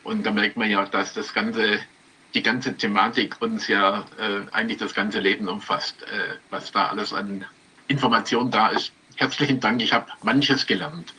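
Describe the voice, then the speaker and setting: A man's voice: on the low side, steady tone, moderate pace, pushed and bright, slight background noise, rough recording. A man in his seventies with a Swabian accent, introducing himself as a guest over a video call with dry humor. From Stuttgart, Germany. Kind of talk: relaxed self-introduction with dry jokes.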